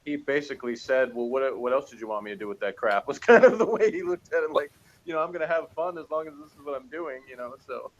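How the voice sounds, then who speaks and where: A man chatting casually as a co-reviewer, halting and often trailing off mid-thought. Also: medium pitch, highs cut off. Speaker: co-reviewer of a TV episode. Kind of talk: casual chat.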